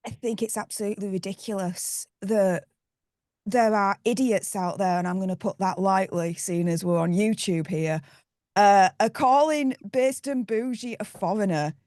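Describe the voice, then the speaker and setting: A woman's voice: medium pitch, normal speed, a little mellow, no room sound, studio quality. A woman with a Yorkshire accent speaking bluntly and indignantly as a guest on a political panel. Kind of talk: heated political panel talk.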